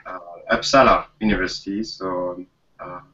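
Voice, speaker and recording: Low bright-voiced man, far from the mic. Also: steady tone, lo-fi sound. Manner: halting, frequent "uh". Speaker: museum curator, paleontologist. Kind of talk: casual panel chat.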